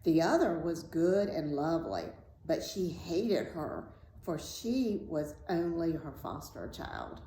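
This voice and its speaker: A woman reading a fairy tale aloud as host, in a deliberate, dramatic storytelling style. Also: deep voice, big pitch swings, mellow. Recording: roomy echo, faint background noise.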